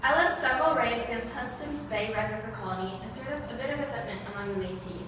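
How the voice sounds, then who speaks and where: A woman reading scripted answers in the role of a historical man, in a plain, steady way. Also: a little high, fast talker, music in the background, close to an everyday voice. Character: grown man, a historical Canadian figure. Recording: echoey hall, far from the mic, with background noise.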